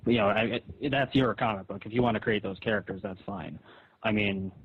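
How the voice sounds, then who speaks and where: Low husky guy arguing on a muffled phone call, keeps stumbling and repeating, kinda reproachful. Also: fairly even intonation. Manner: halting, repetitive, reproachful. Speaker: comic artist, caller. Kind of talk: argumentative phone conversation. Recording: dull roomy phone call recording.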